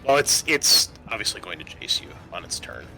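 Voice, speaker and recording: A man's voice: on the low side, very animated, moderate pace, creaky, pushed and bright, faint music behind, rough recording. A man acting as game master, running a tabletop roleplaying session for players.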